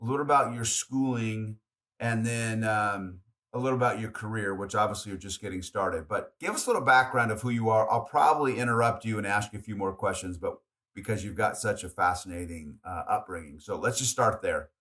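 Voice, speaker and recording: A man hosting a podcast interview, asking guiding questions in a friendly, relaxed way. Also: on the low side, a little fast. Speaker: podcast host. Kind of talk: conversational interviewing.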